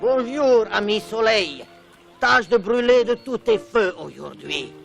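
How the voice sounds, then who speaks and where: An actor playing a humble, kind-hearted water seller in a dubbed animated film, pleading and exclaiming. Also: male voice, high-pitched, takes it slowly, vocal fry, exaggerated voice. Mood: cheerful, imploring. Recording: music behind the voice.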